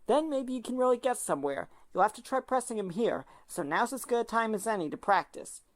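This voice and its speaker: A woman playing a brisk, confident mentor to a rookie lawyer in an amateur audio drama, with music behind. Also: a little high, animated, close to an everyday voice. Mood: instructive.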